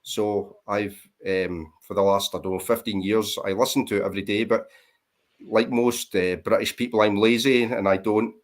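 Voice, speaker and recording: Man, Scottish accent, fairly low voice, relaxed, chatty, self-mocking. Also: normal speed. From Scotland. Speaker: guest on panel. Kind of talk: casual chat.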